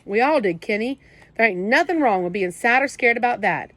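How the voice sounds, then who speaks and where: Gruff streetwise teenage brother, blunt slang, scolding but protective, voiced by a woman; storybook audiobook. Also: fairly high pitch, expressive, brisk, a bit bright, no echo, voice pushed up. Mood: gruff comforting.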